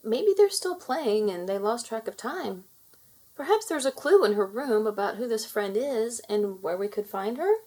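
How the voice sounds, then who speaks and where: A woman's voice: a little high, lively intonation, moderate pace, warm tone. A woman voicing a kind, eager young mermaid princess with earnest expression in a storybook. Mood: concerned.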